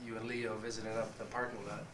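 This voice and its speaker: A man's voice: on the low side, completely flat delivery, rapid-fire, distant mic. A young man with a scratchy voice, a college football player joking his way through an interview. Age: young adult. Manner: wisecracking, boastful. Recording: echoey room, off-mic, ambient noise.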